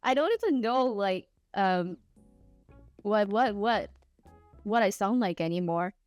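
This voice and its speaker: A woman's voice: mid-range voice, measured pace, a little mellow, dry recording, faint music behind. A young woman from Singapore with a mixed, partly Singaporean accent, rambling casually as a streamer while she plays, often laughing and pleading playfully. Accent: mixed, partly Singaporean English. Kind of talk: casual livestream chat while gaming.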